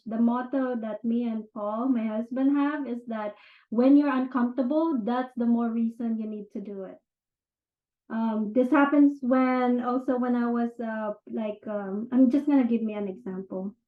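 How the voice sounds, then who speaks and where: A woman's voice: a little high, unhurried. A woman with a Filipino accent, explaining personal change in a casual, chatty way and often checking in with "right?". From Philippines. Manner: conversational, many fillers and "right?" check-ins. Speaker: self-improvement teacher. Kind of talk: casual explanatory talk to camera. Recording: tinny phone-like sound in an echoey room.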